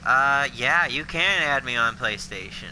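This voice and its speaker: A man's voice: medium pitch, lively intonation, unhurried, low-quality recording. A tired man streaming a driving game, mumbling along and cursing at the action. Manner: mumbling, bursts of exclamations at the game. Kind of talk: casual gameplay commentary. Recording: loud game car noise behind.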